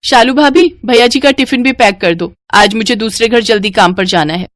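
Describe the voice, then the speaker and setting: Woman acting a young helpful cook, high-pitched. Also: moderate pace, no echo, lightly acted. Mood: respectful, helpful. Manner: respectful, eager. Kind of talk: acted dialogue in a moral story.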